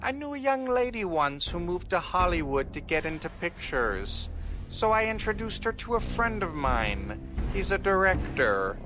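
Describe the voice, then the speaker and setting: A man hosting an old-time radio show with a spooky, theatrical introduction and deadpan, paused punchlines. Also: high-pitched, over music. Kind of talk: spooky horror-host introduction with deadpan jokes.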